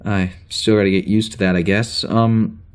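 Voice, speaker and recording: A man playing a flustered security officer on a spaceship, recording an informal audio log in a halting, rambling way for an audio drama. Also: lowish voice, level tone, clean tone, natural voice. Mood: puzzled, uneasy. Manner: halting, rambling, full of hesitations and sighs.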